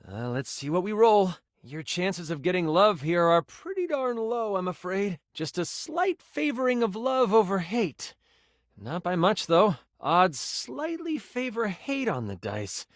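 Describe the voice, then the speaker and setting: An actor playing an excitable, theatrical nerdy game master in a video game, moving between grand narration and casual asides. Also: male voice, high voice, measured pace, no room sound, clean studio sound, full character voice. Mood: casual, explanatory. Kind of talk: video game character voice lines, dramatic tabletop narration.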